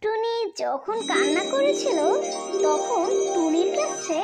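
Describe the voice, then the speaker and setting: A woman's voice: extremely high pitch, big pitch swings, takes it slowly. A woman narrating a scripted cartoon tale for children as its storyteller. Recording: loud music behind the voice.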